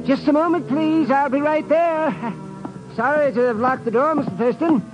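Fussy nervous gentleman, high-pitched, flustered, polite, radio thriller, muffled vintage recording. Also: moderate pace, music in the background. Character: fussy, nervous, apologetic male sidekick and professor. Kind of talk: 1950s radio drama acting.

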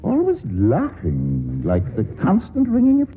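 A wry, urbane writer. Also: male voice, extremely high pitch, wildly swooping pitch, unhurried, soft background music, full character voice. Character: witty urbane man-about-town writer, wry and breezy. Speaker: radio drama actor. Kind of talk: 1940s radio acting. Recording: tinny old radio broadcast.

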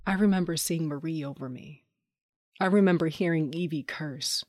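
A woman's voice: sits low, normal speed, a little mellow, a little hiss or hum, lightly acted. An actress playing Tasha, a tough, rage-driven woman cage fighter, narrating in the first person in an audio drama with a brooding, dramatic delivery. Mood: grim, hazy.

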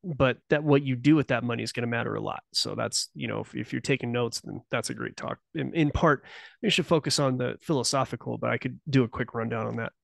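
Male podcast guest, gravelly, dry, meandering and speculative. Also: mid-range voice, fast, dry recording. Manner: rambling, self-interrupting, speculative. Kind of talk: casual conversational interview.

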